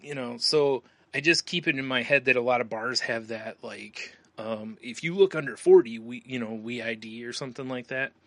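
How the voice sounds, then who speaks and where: A middle-aged man with a bright, forward voice at a medium pitch chats as a podcast co-host. He jokes through his anecdotes, and the sound is close and dry. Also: moderate pace, hardly any pauses, no room sound. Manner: joking, laughs between phrases. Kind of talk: casual chat, joking anecdotes.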